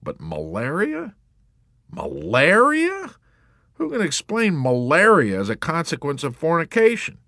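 A man's voice: on the high side, huge pitch swings, normal speed, vocal fry, few pauses, fairly bright tone, no room sound, studio quality, voice pushed up, full character voice. A man voicing a sick warrant officer who laments his bad luck in a whining, aggrieved way. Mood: lamenting.

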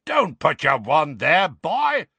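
A man voicing a gruff, paranoid grizzled old wizard who growls irritably in an audiobook. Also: mid-range voice, sing-song, moderate pace, bright, forceful tone, dry recording, voice pushed up, exaggerated voice. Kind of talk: audiobook character voice.

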